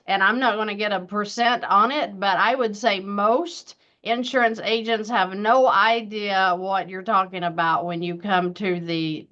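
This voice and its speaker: A woman's voice: medium pitch, fairly bright tone. 50s woman hosting a video call chat, upbeat and chatty, kinda muffled lo-fi audio. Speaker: host. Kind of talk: casual interview chat. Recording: dull lo-fi sound.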